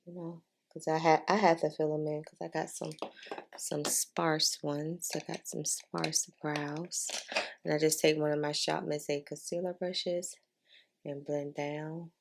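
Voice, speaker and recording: A woman's voice: sits low, level tone, unhurried, vocal fry, a little hiss or hum. A woman talking in a casual, chatty way as a beauty vlogger giving a makeup tutorial, informal and repeating herself for emphasis.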